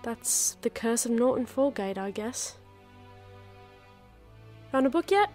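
A woman playing a warm, wry former investigator turned librarian in a full-cast audio drama. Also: on the high side, animated, slow, faint music behind. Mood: teasing.

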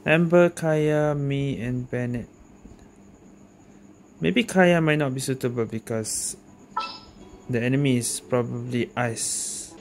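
A woman's voice: very deep voice, slow, long pauses, darkish tone, a little hiss or hum. A woman giving casual, amused gameplay commentary, reacting hesitantly and reading out on-screen text. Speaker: gaming video creator.

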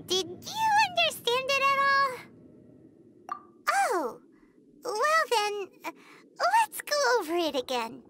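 A woman's voice: extremely high pitch, huge pitch swings, takes it slowly, pauses often, background noise, heavily acted. A woman voicing a small, childlike female fairy companion in a video game, cheerful, naive and full of wide-eyed exclamations. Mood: puzzled, earnest. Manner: exclamatory, refers to self in third person, wide-eyed.

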